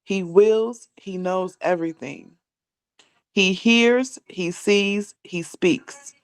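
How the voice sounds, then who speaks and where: A woman's voice: medium pitch, slow pace, clean tone, dry recording. A woman, a student in a class, reading a religious text aloud line by line.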